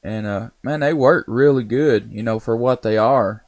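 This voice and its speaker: A man's voice: mid-range voice, moderate pace, darkish tone. A man with a Southern US accent making folksy, casual conversation as a ham radio operator. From Tennessee, United States. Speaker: amateur radio operator and live streamer.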